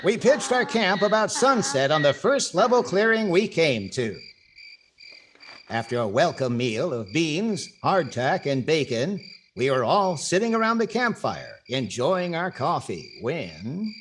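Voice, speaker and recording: A man's voice: on the high side, very animated, unhurried, fairly bright tone, a little hiss or hum. A man playing a wry adventurer who narrates his trek in 1940s radio comedy style. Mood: storytelling. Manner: wry, storytelling.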